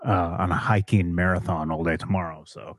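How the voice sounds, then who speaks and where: Low husky podcast guest man. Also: fairly even intonation, brisk, dry recording, clean studio sound. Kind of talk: casual chat.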